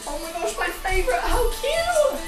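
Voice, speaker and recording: Croaky, bubbly woman, echoey and distant. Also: extremely high pitch, big pitch swings, moderate pace. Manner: bubbly, exclamatory, breathless between moves. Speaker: dance vlogger. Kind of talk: chatty vlog while dancing. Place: cold glass conservatory at home. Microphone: far camera. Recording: echoey room from far off, music behind.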